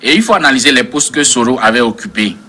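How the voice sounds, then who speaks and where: A man's voice: medium pitch, expressive, quick pace, sharp tone, husky. A man speaking as a panel analyst in a political debate, professorial and long-winded with learned references.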